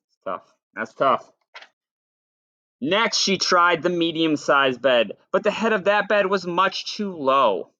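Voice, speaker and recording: A man reading a picture book aloud to children, expressive and playful, with dry asides. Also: a little high, no echo, muffled recording. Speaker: storyteller.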